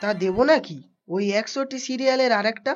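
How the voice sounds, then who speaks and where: A woman's voice: a little high, fairly quick, natural voice. A woman voicing a chatty, persuasive lottery ticket seller in a cartoon, talking in a familiar way. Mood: coaxing. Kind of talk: cartoon voice acting. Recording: dull track with music behind.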